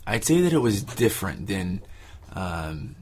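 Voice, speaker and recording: A man giving casual, reflective interview answers, haltingly searching for his words. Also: low voice, big pitch swings, darkish tone, slight background noise. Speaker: interviewee, exercise participant.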